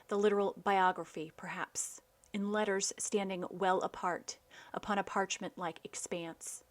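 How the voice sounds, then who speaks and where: A woman hosting a poetry program, giving scripted narration and reading verse in a measured, deliberate way. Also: medium pitch. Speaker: host of a poetry radio program.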